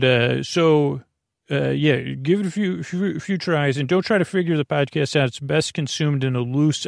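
A man hosting a bedtime podcast in a creaky, gravelly voice, rambling in a halting, meandering way and drifting into tangents mid-sentence. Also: medium pitch, no echo, clean studio sound. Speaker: podcast host. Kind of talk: rambling bedtime monologue for falling asleep.

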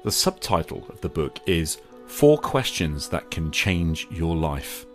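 A man speaking as a podcast host in a reflective scripted monologue, with an earnest, measured manner. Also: low voice, very animated, soft background music.

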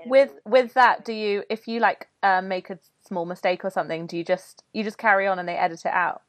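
A woman's voice: medium pitch, steady tone, fairly quick, dry recording. A woman hosting a podcast interview, chatty and encouraging toward her guest. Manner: friendly, encouraging listener, chatty. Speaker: podcast host. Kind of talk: casual interview chat.

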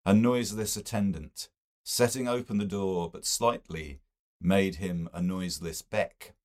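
A man giving an expressive, deliberate reading of a literary novel. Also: low voice. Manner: deliberate, measured delivery.

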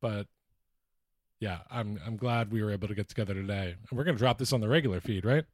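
A man's voice: lowish voice, quick pace, no echo. Casual male podcast co-host, close dry mic. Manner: profane, joking. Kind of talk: casual chat.

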